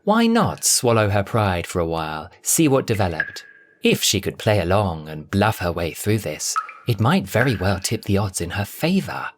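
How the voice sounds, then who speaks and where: A man narrating a dramatic audio story from a script in a theatrical, expressive way. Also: lowish voice, moderate pace, a little hiss or hum.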